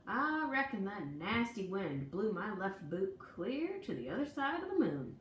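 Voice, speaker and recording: Animated woman reading a children's storybook aloud. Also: mid-range voice, a little slow. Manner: folksy, dramatic storytelling delivery. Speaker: storybook reader. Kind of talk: expressive read-aloud of a children's picture book. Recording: echoey room, distant mic, faint noise.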